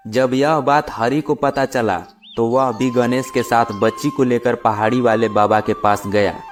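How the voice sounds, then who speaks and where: A man with a mid-pitched voice tells a children's story. His delivery is scripted narration for a moral tale. Light music plays behind him. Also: fairly even intonation, a little slow. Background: background music.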